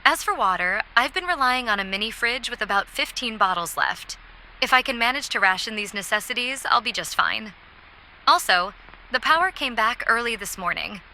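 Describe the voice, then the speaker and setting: A woman's voice: a little high, expressive, normal speed, sharp tone, a little hiss or hum, exaggerated voice, rough recording. A woman playing a stranded survivor keeping an audio diary, speaking in a wry, reflective way. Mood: wry, hopeful. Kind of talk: analog horror audio diary acting.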